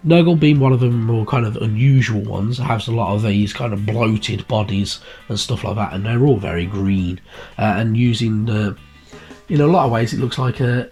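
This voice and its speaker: A man hosting a miniature painting channel, croaky and bunged up with a heavy cold, chatting casually with lots of fillers and restarts. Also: mid-range voice, a little mellow. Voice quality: husky. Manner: rambling, many fillers and restarts. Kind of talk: casual video chat about a magazine issue. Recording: background guitar music, cheap mic.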